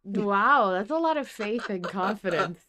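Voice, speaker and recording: A woman's voice: medium pitch, expressive, unhurried, sharp tone. A woman hosting a podcast, talking in a casual, conversational and emphatic way. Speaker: podcast host.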